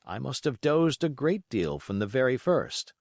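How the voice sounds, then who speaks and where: A man reading a classic adventure novel aloud as an audiobook, in an expressive, measured storytelling delivery. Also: mid-range voice, gravelly, no room sound, clean studio sound. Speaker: audiobook reader.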